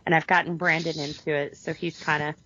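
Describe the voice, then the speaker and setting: A middle-aged woman co-hosting a casual podcast, chatty and animated as she tells stories. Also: sits low, a little fast, slight background noise. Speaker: podcast co-host. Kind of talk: casual chat. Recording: dry, slightly dull lo-fi audio.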